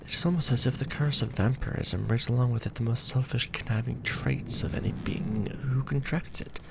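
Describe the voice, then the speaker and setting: A man voicing a weary, tender aristocratic vampire lord in an ASMR romance roleplay, murmuring softly and formally, close to the microphone. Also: medium pitch, fairly quick, background noise, lightly acted. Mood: brooding, bitter. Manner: soft, breathy murmuring, formal archaic phrasing. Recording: background music bed.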